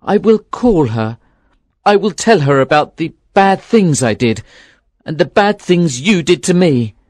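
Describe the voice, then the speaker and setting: A man's voice: on the high side, very animated, measured pace, a little mellow, dry recording, clean studio sound. A man voicing a young fisherman in love, speaking in an earnest, emphatic way. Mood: determined.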